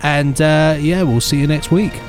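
A man's voice: a little high, moderate pace, over loud music. A man co-hosting a podcast, chatting in a rambling, playful, ad-libbing way. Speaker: podcast co-host. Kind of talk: jokey casual chat.

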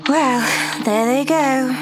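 Teenage girl, very high-pitched, clever, idealistic, explanatory, audio drama. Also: drawn-out words, background noise. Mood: doubtful. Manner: earnest, explanatory.

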